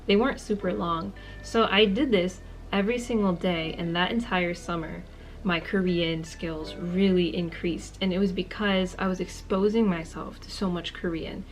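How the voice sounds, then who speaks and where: A woman's voice: on the low side, fairly even intonation, normal speed, faint music behind, poor audio. A woman in her twenties talking casually to camera, giving friendly, earnest tips and repeating words for emphasis. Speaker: language-learning vlogger.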